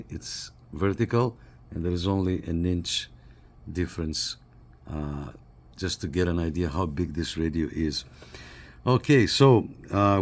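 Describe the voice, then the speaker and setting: A man with a low, deep voice talks through a hands-on review without a script. He explains things step by step in short phrases, pausing between them. He speaks from behind the camera in a living room. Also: darkish tone. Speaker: radio reviewer. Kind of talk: unscripted product walkthrough.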